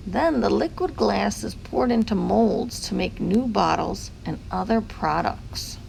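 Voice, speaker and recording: A woman's voice: mid-range voice, creaky, a little mellow, a little hiss or hum, rough recording. A woman reading a picture book aloud to young children, explaining things simply like a teacher. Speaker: teacher-like read-aloud host.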